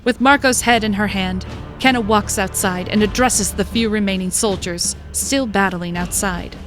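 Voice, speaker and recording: A woman's voice: mid-range voice, normal speed, faint music behind. A woman reading scripted, dramatic narration for a fantasy story as its narrator.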